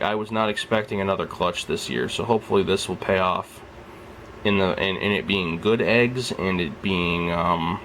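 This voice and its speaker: A young man with a deep, low-pitched voice, fresh out of bed, talks softly and rambles at length about the reptile in front of him. His casual vlog chatter is recorded indoors at home, with a faint hum of background noise. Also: vocal fry, poor audio. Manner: rambling, soft-spoken, keeps his voice down. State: just woke up. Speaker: reptile keeper filming his snake. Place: at home beside a reptile rack.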